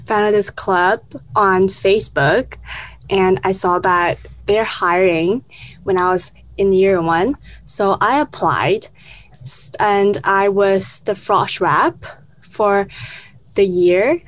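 A young woman from Hong Kong, a student guest, answering in an unhurried, hesitant way. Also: mid-range voice, poor audio. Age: young adult. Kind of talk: casual interview answers.

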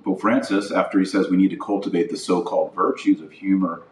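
A man's voice: deep voice, steady tone, fairly quick, a little hiss or hum. A man hosting a podcast, giving opinionated religious commentary in an emphatic, indignant manner full of rhetorical questions. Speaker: podcast host. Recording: roomy echo, distant from mic.

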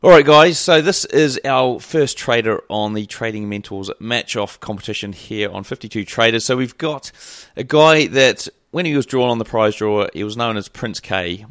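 A man's voice: mid-range voice, moderate pace, few pauses, fairly bright tone, dry recording, studio quality. A man hosting a podcast, chatting loosely with plenty of ums and restarts. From New Zealand. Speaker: podcast host. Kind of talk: casual interview chat.

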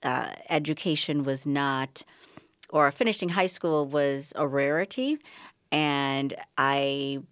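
A woman in her fifties with a faint Bahamian accent and a low voice answers questions on a radio show. She is thoughtful and conversational, with fillers, and the studio sound is dry. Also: slow pace, clear, resonant tone, no echo. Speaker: physician guest. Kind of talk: radio interview.

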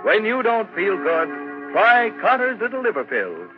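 A man announcing and reading the sponsor's message for a 1940s radio show, in a bright, crisp sales delivery. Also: sits high, wildly swooping pitch, unhurried. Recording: dull old radio broadcast with music.

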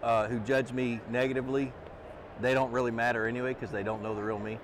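A middle-aged man with a loud voice, talking earnestly as a podcast guest and repeating words as he thinks. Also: medium pitch, flat delivery, quick pace, a little hiss or hum. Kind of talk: casual interview conversation. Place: trade show booth, outdoors.

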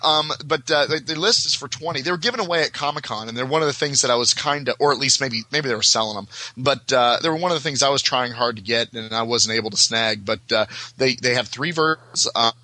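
Man, bright forceful tone, mid pitch, casual podcast guest, wordy roundabout delivery. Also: fast talker, no echo. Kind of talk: casual chat.

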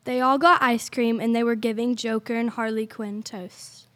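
A woman's voice: on the high side, fairly even intonation, moderate pace, a bit bright. A woman reading scripted story narration as the narrator of an audio play.

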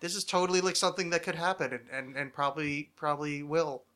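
A man's voice: a little high, quick pace, bright, forceful tone. A man in his thirties chatting casually and ramblingly as a podcast host, with a wry, mock-dramatic, self-deprecating manner.

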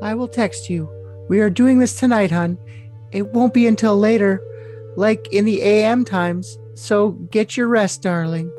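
A man's voice: sits high, measured pace, a little mellow. A man voicing a lovesick, scheming young woman in a cutesy, coy, overly dramatic comic reading of messages. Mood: insistent, sweet. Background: music.

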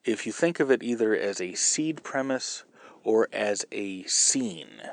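A man's voice: on the low side, animated, unhurried, dry recording. A man hosting a podcast, explaining writing craft in an informal, colloquial way. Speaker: podcast host and novelist. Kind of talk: casual writing advice podcast.

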